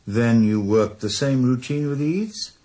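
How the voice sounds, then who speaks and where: A man's voice: medium pitch, dark tone. An actor with a Welsh accent playing a retired male ex-detective who probes with terse, dry questions in a 1980s radio drama.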